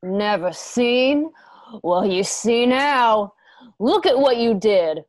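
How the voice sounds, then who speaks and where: A woman's voice: a little high, lively intonation, takes it slowly, no echo. An actress playing a vengeful, scarred gunslinger woman in a Western radio play, with a folksy, profane, menacing manner. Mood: furious. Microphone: home video call.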